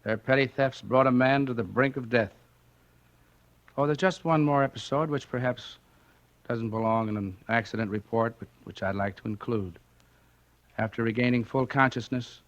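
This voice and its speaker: A lo-fi old radio recording of an actor as a sober American narrator reading a case report. His medium-pitched voice is measured, formal and dryly wry. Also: fairly even intonation, a bit bright, close to an everyday voice. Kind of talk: scripted 1940s radio narration.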